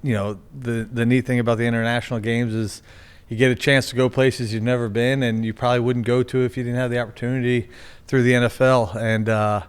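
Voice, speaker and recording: A man's voice: medium pitch, level tone, brisk, clear, resonant tone. A man being interviewed as a sports team's general manager, talking casually with many fillers and restarts. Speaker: NFL general manager, guest.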